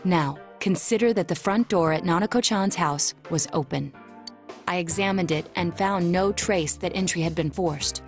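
A woman playing a calm, analytical young detective in a video game, speaking in a formal, measured and precise way. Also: fairly low pitch, close to an everyday voice. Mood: composed. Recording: music under the dialogue.

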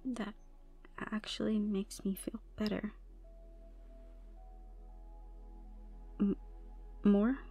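A young woman playing a troubled tomboy goth college girl, shy and softly teasing, in an intimate ASMR roleplay with hesitant delivery and whispered asides. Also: medium pitch, fairly even intonation, slow pace, breathy edge, faint music behind. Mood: shy, tender.

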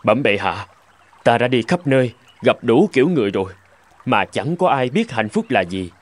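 Animated, theatrical earnest fable man. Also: medium pitch, normal speed. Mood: solemn. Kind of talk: animated folk tale dub. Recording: music behind the voice.

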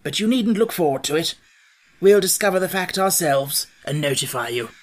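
A woman voicing a cheerful, pompous male scarecrow king in a storybook, with a bright and grandly reassuring manner. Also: lowish voice, animated, moderate pace. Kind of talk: audiobook character voice.

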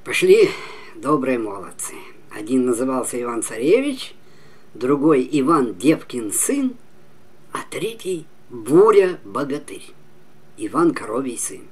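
An elderly man in his seventies tells a fairy tale as a storyteller. His voice is husky and swings widely in pitch. He goes slowly, leaning on key words and pausing for drama, sometimes sinking to a near whisper. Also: big pitch swings. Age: 70s. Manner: slow, emphatic, with dramatic pauses and drops to near whisper. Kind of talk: expressive fairy-tale narration.

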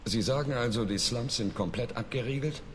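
An actor playing a resolute, commanding space soldier in a video game, speaking firmly. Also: male voice, medium pitch, brisk, lightly acted. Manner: firm, assured. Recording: reverberant game scene, faint noise.

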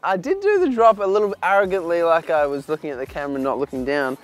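A man's voice: fairly high pitch, lively intonation, fast, faint music behind. A young man co-hosting outdoors, joking dryly about himself and counting down drops. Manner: dry, self-mocking, counts down drops. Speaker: co-host. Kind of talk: casual banter. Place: outdoors at a tower site.

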